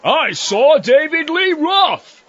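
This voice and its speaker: A man's voice: very high voice, very animated, takes it slowly, fairly bright tone, a faint crowd, heavily acted. A man playing an eccentric, easily baffled medieval goat peddler in a comedic audio drama. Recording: dull, lo-fi audio.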